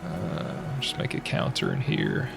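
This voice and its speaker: A man's voice: very deep voice, wildly swooping pitch, measured pace, gravelly, over loud music. A young man streaming a puzzle game with a stuffy, slightly nasal nose, muttering hesitantly as he works through the logic step by step. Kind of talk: thinking aloud while live-streaming a puzzle game.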